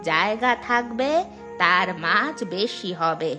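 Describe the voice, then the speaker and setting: A woman's voice: medium pitch, animated, slow pace, pushed and bright. A woman voicing a scheming village wife in a cartoon, bossy and impatient with her husband. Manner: impatient, scolding. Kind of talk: dubbed cartoon dialogue. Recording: music in the background.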